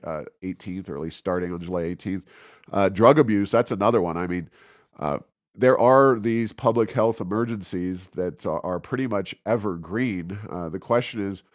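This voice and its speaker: Low-voiced man giving halting political commentary. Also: dry recording, clean studio sound. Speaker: podcast host.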